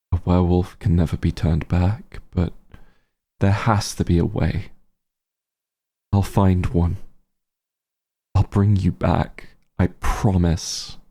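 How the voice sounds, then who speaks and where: A man as a gravelly, low-voiced tender monster hunter. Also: exaggerated voice. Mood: worried, determined. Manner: halting, emotional, gentle. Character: werewolf hunter, tender and remorseful, reunited with lost friend. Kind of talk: roleplay audio drama, intimate monologue to listener.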